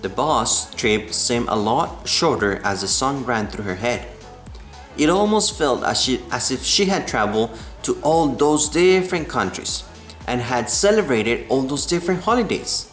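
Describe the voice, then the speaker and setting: A man reading a children's book aloud in a measured way, stumbling now and then. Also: mid-range voice, lively intonation, a bit bright. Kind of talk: storybook read-aloud. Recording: roomy echo, music behind the voice.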